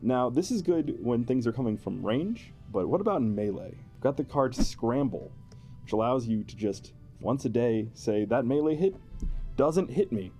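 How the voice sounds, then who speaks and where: A man's voice: medium pitch, expressive, normal speed, dark tone, faint music behind. An amused, playful man, explaining a tabletop game character build in a chatty style. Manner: amused, playful, chuckling often. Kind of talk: explanatory chat with a co-host.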